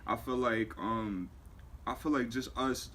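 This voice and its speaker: A man's voice: mid-range voice, fairly even intonation, a little slow, fairly bright tone. A man in his early twenties, a music manager, talking casually in an interview and leaning on fillers like "you know what I'm saying". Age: 20s. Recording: faint background noise.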